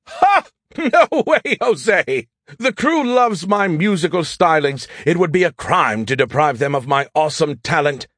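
Man voicing a snarky, arrogant artificial intelligence, swooping pitch. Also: lively intonation, fairly quick, no room sound, studio quality, natural voice. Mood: gleeful, boastful. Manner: sarcastic, condescending, theatrical. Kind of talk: audiobook character voice.

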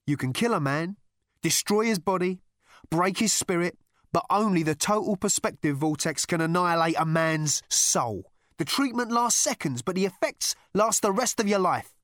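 A man's voice: a little high, very animated, normal speed, dry recording, clean studio sound, pitched above the performer's own voice. A man reading an audiobook, voicing a grim space conspirator with an emphatic, ominous way of talking. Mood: urgent, ominous. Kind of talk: audiobook character voice.

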